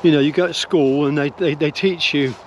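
An older man rambles thoughtfully while walking outdoors, stopping and restarting his sentences. His voice sits a little high for a man. Clear outdoor noise from wind and distant traffic runs behind him. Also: steady tone, a little fast. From England. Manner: musing, hesitant, many restarts and fillers. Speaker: vlogger. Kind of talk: rambling walk-and-talk opinion vlog. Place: woodland path outdoors while walking dogs. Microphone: phone or camera held close at arm's length.

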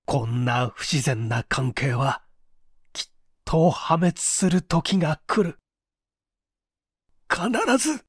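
A reader as an anguished, bitter secretary, animated man. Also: a little high, slow pace, long pauses, slightly rough, dry recording, studio quality, exaggerated voice. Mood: brooding hatred. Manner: groaning, bitter, dramatic. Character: anguished male secretary, bitter with long-suppressed love.

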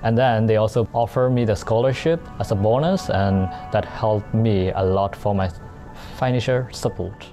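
Young man from Myanmar, low mellow voice. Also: normal speed, faint music behind. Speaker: student. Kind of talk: scripted testimonial.

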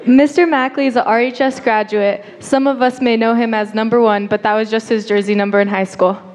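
A woman's voice: on the high side, fairly even intonation, brisk, a little mellow. Giggly teen girl on stage mic. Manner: giggly, teasing. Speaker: student event co-host. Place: school auditorium stage. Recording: noisy crowded hall.

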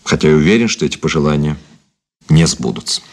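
Low-voiced, ironic former detective officer. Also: male voice, animated. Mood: mocking, ironic. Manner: theatrical, ironic, measured. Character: cynical, ironic former detective officer and turncoat, grandly mocking. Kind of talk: Soviet radio drama acting.

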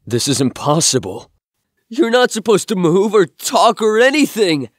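A man's voice: high voice, big pitch swings, dry recording, studio quality. An actor playing a downcast, bewildered young man held captive in an audio drama, speaking hesitantly. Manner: hesitant, halting.

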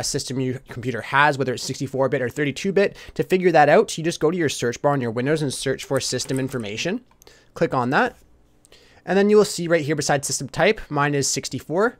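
Fast-talking man hosting a tutorial. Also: a little high. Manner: instructional, addresses viewers informally as "you guys". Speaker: tutorial host. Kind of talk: casual step-by-step software tutorial voice-over.

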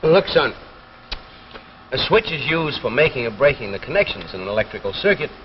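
A man's voice: medium pitch, very animated, brisk, a little hiss or hum, close to an everyday voice. Man acting as patient, skeptical 1950s TV dad. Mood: patient, challenging. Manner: gently questioning, explanatory. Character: patient, skeptical middle-aged father tinkering with his son. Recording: dull 1950s television soundtrack.

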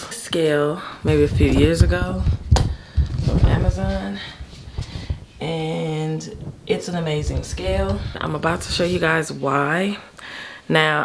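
Deep, creaky-voiced American woman vlogger. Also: a little hiss or hum. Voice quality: deep early-morning voice. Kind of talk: casual chatty vlog to camera. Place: home kitchen and upstairs rooms, indoors.

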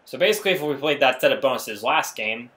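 A man's voice: mid-range voice, lively intonation, fast talker, sharp tone. A man giving casual gameplay commentary, talking to himself in exasperation and often repeating phrases. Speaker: gaming video creator.

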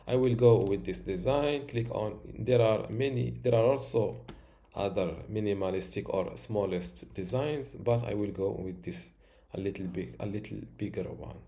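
Slow, low-voiced man teaching software. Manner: slow, step-by-step instructions with fillers. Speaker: tutorial host. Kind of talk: screen-recorded software tutorial.

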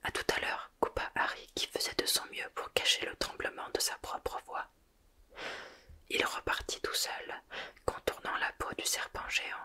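A woman reading a storybook aloud in a steady, soft whisper. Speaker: reader.

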